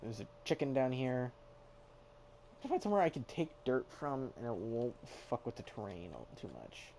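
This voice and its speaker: A man's voice: medium pitch, animated, normal speed, a little mellow, slight background noise. A young man streaming a game, chatting casually, swearing and mocking himself, now and then singing along. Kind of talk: casual gameplay chat.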